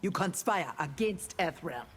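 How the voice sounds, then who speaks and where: An actress playing a stern, disdainful warrior in a video game, cool in delivery. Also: female voice, on the low side, expressive, a little slow, in a large hall, a little hiss or hum. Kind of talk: video game fighter dialogue.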